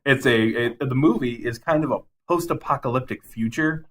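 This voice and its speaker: A man's voice: mid-range voice, brisk. Enthusiastic, rambling man chatting casually. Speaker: podcast co-host. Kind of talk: casual chat, ranking favorite game movies.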